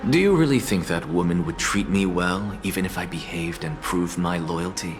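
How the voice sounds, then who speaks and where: Casting: male actor as aloof, sardonic professor for a fantasy game, low voice, pompous and measured. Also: music in the background, natural voice. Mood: wry, defiant. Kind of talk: dramatic video game acting.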